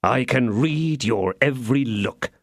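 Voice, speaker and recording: A man voicing an ardent young male swordsman who flatters with theatrical, sentimental pleading. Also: medium pitch, unhurried, no room sound, studio quality, pitched above the performer's own voice.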